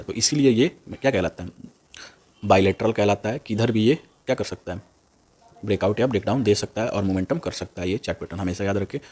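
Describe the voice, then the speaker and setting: A young man giving an informal, unscripted tutorial, repeating himself and often tagging phrases with a quick check word. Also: low-pitched, a little mellow, slightly rough. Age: young adult. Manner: repetitive, with a frequent "okay" tag after phrases. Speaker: stock trading tutor on YouTube.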